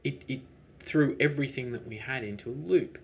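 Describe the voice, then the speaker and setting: A man's voice: medium pitch, brisk, vocal fry, dull audio, slight background noise. A man, a business owner, talking through his story in a rambling, hesitant way, with many restarts and fillers. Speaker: lavender farm business owner. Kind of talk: conversational interview answers.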